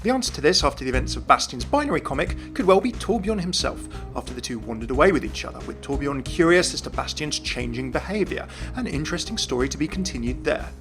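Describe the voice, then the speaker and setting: A man's voice: a little high, sing-song, fast talker, hardly any pauses. A man giving a scripted commentary on video game lore. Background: faint music.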